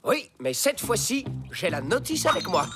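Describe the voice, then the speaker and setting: A performer voicing an excitable, bossy male cartoon animal in a French dub, dramatic and quick to swing from confidence to panic. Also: mid-range voice, loud music behind the voice, natural voice. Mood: confident.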